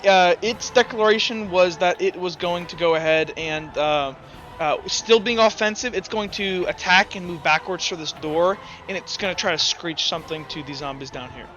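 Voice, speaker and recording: A man's voice: sits high, normal speed, bright, forceful tone, muffled recording. A man acting as game master in a tabletop roleplaying game, talking casually and swearing freely. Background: background music.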